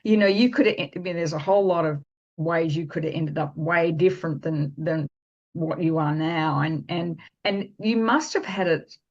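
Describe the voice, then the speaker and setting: A woman host leading a conversational interview, encouraging and quick to affirm, with halting restarts as she frames her questions. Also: sits low. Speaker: podcast host. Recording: slightly dull, lo-fi audio.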